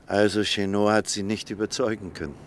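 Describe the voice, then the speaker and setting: An actor playing a thoughtful, sceptical doctor in a German radio play, measured and earnest as he questions. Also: lowish voice, flat delivery, a little hiss or hum, natural voice. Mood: subdued, troubled. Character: male doctor, thoughtful, sceptical, conscientious debater.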